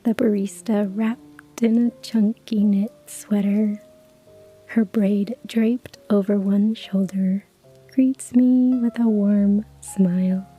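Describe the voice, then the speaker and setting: Woman, ASMR whisper, slow, dark mellow tone, husky breath, faint music behind. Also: mid-range voice, steady tone, dull audio. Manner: slow, hushed whisper with soft laughs. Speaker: narrator. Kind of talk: ASMR whispered story narration.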